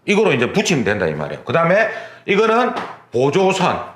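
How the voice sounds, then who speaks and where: A man lectures in an exam prep course, his pitch leaping up and down as he repeats key terms and talks through hands-on demonstrations. His voice sits a little high for a man. The recording sounds roomy, with faint background noise. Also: wildly swooping pitch, a little fast, fairly bright tone.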